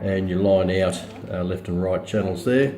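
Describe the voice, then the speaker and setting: A man giving a casual, unscripted product demonstration as a reviewer, hesitant and halting as he thinks aloud. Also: low-pitched, dark tone. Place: outdoors or by a window in bright daylight, filming a radio.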